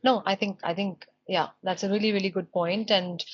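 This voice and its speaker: A woman from India in her late thirties who speaks English as a second language, hosting a casual conversation with warm, affirming replies and lots of fillers. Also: medium pitch, fairly even intonation, moderate pace. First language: not English. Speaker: host, company founder. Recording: dull lo-fi sound.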